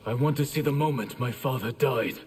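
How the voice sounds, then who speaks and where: An actor playing a proud, defiant warrior in a fighting game, with a husky, gravelly voice and curt delivery. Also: male voice, medium pitch, a little fast, darkish tone, big-room sound, a little hiss or hum, natural voice. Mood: grim. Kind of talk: fighting game character dialogue.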